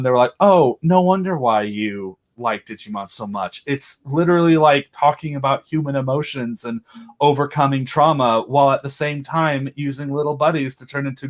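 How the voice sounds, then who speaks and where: Enthusiastic man rambling about monsters. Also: mid-range voice. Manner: enthusiastic, rambling fan talk. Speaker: podcast host and audio drama creator. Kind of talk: casual video chat interview.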